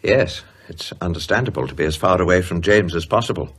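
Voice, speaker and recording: Anxious loyal man, lowish pitch, rough breathy tone, earnest, worried, horror radio play. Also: animated, fast. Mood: brisk concern. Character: anxious, loyal, earnest male friend eager to help.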